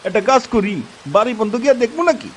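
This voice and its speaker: A man's voice: very high-pitched, very fast, a little hiss or hum, pitched above the performer's own voice. A man voicing an eager, chummy young villager in an audio story.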